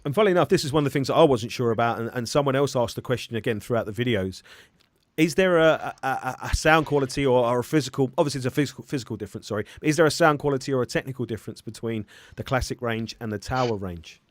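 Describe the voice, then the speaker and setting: A man's voice: medium pitch, fast, no echo. A man hosting a casual live-stream interview, rambling and often correcting himself as he phrases questions. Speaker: interviewer and hi-fi review host.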